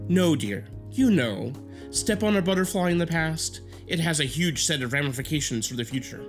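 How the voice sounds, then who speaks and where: A man acting in an audio drama as a talkative archaeologist husband with an animated, contradicting manner. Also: on the high side, moderate pace, faint music behind. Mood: exasperated.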